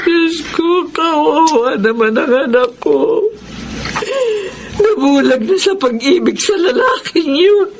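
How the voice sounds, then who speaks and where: A woman's voice: high voice, expressive, slow, a little hiss or hum, exaggerated voice, poor audio. A woman playing a sorrowful older mother who laments plaintively in a Filipino radio drama. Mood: tearful lament.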